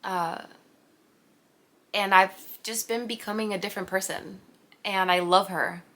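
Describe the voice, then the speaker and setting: Bright chatty twenty-something woman from puerto rico, spanish first language, rambling vlog talk at home. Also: mid-range voice, fairly even intonation, measured pace. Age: 20s. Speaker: vlogger. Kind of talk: casual unscripted talk to camera. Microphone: camera mic.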